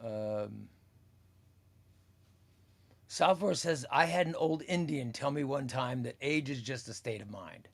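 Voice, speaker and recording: A man's voice: mid-range voice, slow pace, gravelly, pauses often. A man in his fifties hosting a comics livestream, chatting casually as he reads viewer comments aloud, rambling and repeating his phrases. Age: 50s. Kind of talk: casual chat reading live comments.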